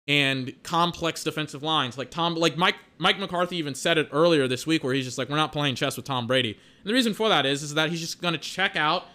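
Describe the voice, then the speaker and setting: A young man giving casual, excitable play-by-play and analysis of a football game he is watching, with lots of filler words. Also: fairly high pitch, brisk, few pauses. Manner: excitable, peppered with filler words and mock voices. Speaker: watch-along football commentator.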